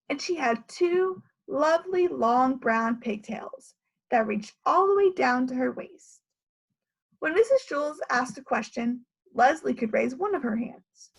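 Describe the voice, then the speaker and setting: A woman's voice: high-pitched, vocal fry, breathy edge, rough recording. A woman reading a children's story aloud like a teacher, with questions to the listeners.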